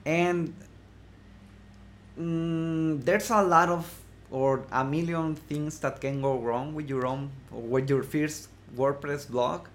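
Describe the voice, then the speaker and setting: A man from Mexico with a Mexican Spanish accent, speaking as an interview guest in a casual chat, pausing often with fillers and restarts. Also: fairly high pitch, fairly even intonation. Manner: hesitant, many fillers and restarts. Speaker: guest, blogging course creator.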